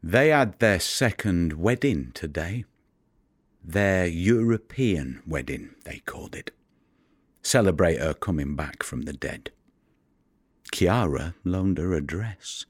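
A man's voice: low-pitched, animated, takes it slowly, no echo, studio quality, exaggerated voice. An actor playing a weary, tender-hearted working fisherman who tells his story in an intimate monologue for an audio play. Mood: happy, worn out. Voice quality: gravelly, husky.

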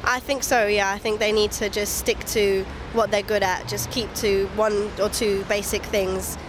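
A woman's voice: medium pitch, level tone, unhurried, background noise. A woman from the public answering questions in a street interview, casual and a little hesitant. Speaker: member of the public. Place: outdoors on a street.

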